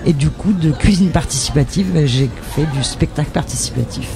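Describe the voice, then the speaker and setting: A woman from northern Charente in France, a festival performer, chatting casually and lively as a guest on a festival radio show. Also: sits low, a little fast. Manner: lively, talkative, switches to familiar tu. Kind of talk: casual radio interview chat.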